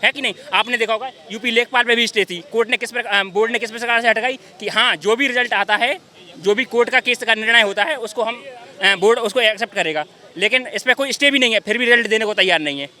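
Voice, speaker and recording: Young male, very sharp bright voice, quick argumentative talk at a street protest. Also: very high voice. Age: young adult. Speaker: job candidate at a protest. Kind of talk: street interview. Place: outdoors by a city street.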